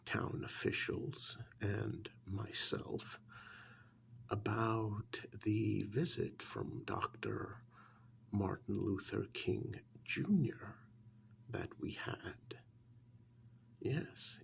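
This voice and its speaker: A man playing a polite, guarded, elderly small-town museum curator in a soft, breathy, hesitant ASMR role-play. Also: lowish voice, slight background noise, exaggerated voice. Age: older. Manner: hesitant, deliberate, courteous.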